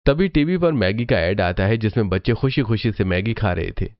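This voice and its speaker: A man's voice: fairly low pitch, lively intonation, fairly quick, dry recording, muffled recording. A man reading scripted storybook narration for a cartoon.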